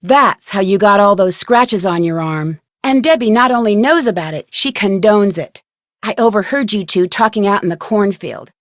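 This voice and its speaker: A woman playing an inquisitive, plucky young female amateur detective in a video game, speaking in an earnest, expressive way. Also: medium pitch, moderate pace, dry recording, clean studio sound, close to an everyday voice. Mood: determined, earnest.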